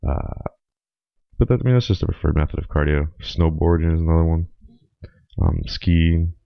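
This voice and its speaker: A young man with a very deep, gravelly voice, raspy and hoarse from a week of being sick. He rambles through a solo podcast with plenty of ums and uhs. The recording is dry and a little dull, lo-fi. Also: lively intonation, moderate pace, dry recording. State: hoarse from a cold. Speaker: podcast host. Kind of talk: casual solo podcast monologue.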